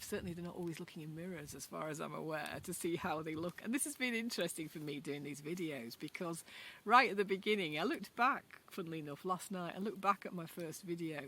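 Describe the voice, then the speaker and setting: A woman's voice: fairly low pitch, a little hiss or hum. A middle-aged woman with a Yorkshire accent, chatting casually and reflectively to camera outdoors in a forest garden, musing aloud with loose, rambling hesitations. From Yorkshire, England. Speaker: vlogger. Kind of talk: casual unscripted talk to camera.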